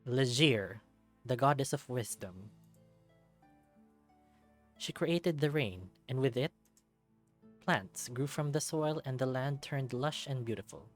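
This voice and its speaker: Male let's-play narrator, mid-pitched, storybook read-aloud delivery, light music bed underneath. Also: takes it slowly, long pauses. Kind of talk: dramatic read-aloud storytelling with casual commentary.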